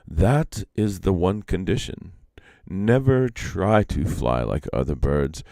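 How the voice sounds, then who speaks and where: A man's voice: low voice, big pitch swings, slow pace, vocal fry, mellow, dry recording, heavily acted. A man voicing a stern old peacock in a storybook, solemnly pronouncing magical conditions. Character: stern elder male peacock who grants magical wishes.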